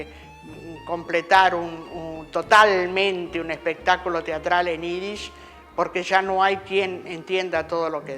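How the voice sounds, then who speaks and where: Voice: medium pitch, a bit bright, in a large hall. A speaker in an interview recalling old theatres in an informative, reminiscing way. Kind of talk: interview talk on Yiddish theatre.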